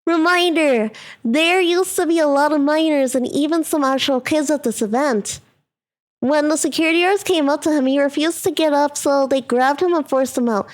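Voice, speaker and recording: A woman's voice: high voice, moderate pace. A young woman streaming as a VTuber, giggling and reading stories aloud between crude riffs. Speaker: VTuber reacting to a video. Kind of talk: casual reaction commentary, reading posts aloud.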